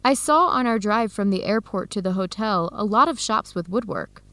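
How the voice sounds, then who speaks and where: A woman's voice: medium pitch, expressive, moderate pace, fairly bright tone, no echo. A woman playing a friendly, considerate young Ethiopian American student in an educational radio drama, speaking earnestly.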